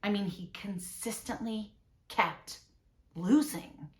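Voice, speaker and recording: A woman's voice: medium pitch, slow pace, roomy sound, pitched above the performer's own voice, lightly acted. A woman playing a frazzled suburban mother in a rambling, self-justifying, conversational monologue. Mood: wry, exasperated. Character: frazzled suburban mother confessing an embarrassing moment. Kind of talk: dramatic monologue, conversational confession.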